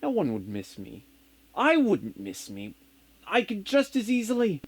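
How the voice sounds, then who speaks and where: A man performs a bored gentleman of the 1930s in a comic stage monologue. The voice is highish and swings widely, pompous and theatrical, full of melodramatic self-pity. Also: wildly swooping pitch, measured pace, slightly rough, exaggerated voice. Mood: weary self-pity. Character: bored, world-weary upper-class Englishman of thirty-one, 1930s.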